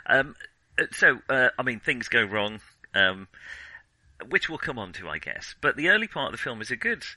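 A man's voice: mid-range voice, very animated, moderate pace, pushed and bright, no room sound, low-quality recording. A man co-hosting a film podcast in casual discussion, meandering and correcting himself as he feels his way through his thoughts. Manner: hesitant, meandering, self-correcting.

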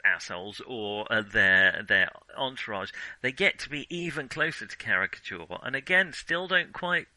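A man with a very bright, forward voice at a middling pitch, recorded close and dry. He chats about films as a podcast co-host, thinking aloud and doubling back on his phrases. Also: expressive, dry recording, low-quality recording. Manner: halting, backtracking, thinking aloud. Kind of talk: casual film discussion.

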